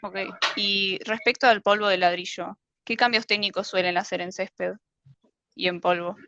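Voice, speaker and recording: A woman's voice: medium pitch, fairly even intonation, moderate pace, pushed and bright, dry recording. Woman interviewer, dry muffled sound. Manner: brief, asks short direct questions. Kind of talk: interview questions. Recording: dry, slightly muffled audio.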